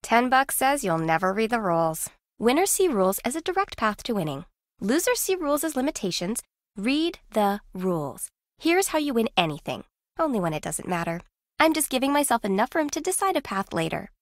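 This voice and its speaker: A shrewd, competitive young woman in a video game. Her delivery is lively and teasing, with dry self-assurance, in a very dry, close recording. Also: on the high side, fairly quick, no echo. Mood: smug, teasing. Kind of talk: video game dialogue acting.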